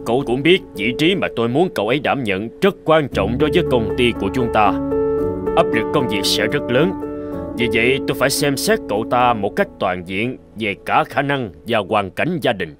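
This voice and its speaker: A man voicing a stern, middle-aged company director in a cartoon, speaking in a formal, deliberate way. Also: medium pitch, lively intonation, over music, close to an everyday voice. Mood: serious. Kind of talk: cartoon dubbing.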